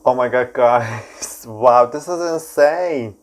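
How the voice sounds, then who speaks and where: A man's voice: mid-range voice, slow pace, a little hiss or hum. A man with a Persian accent reacting casually and enthusiastically to a video, with many fillers.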